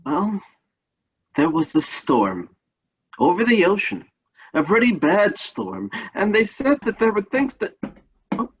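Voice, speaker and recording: A man's voice: high voice, very animated, slow pace, gravelly, pauses often, slight background noise, full character voice, low-quality recording. A man playing a genial, folksy but anxious uncle in an old-time radio play. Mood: worried.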